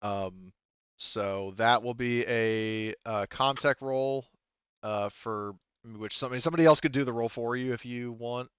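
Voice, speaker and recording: A man running a tabletop game as game master, giving casual rulings and narration in a halting way, thinking aloud. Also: mid-range voice, a bit bright, clean tone, no echo, highs cut off.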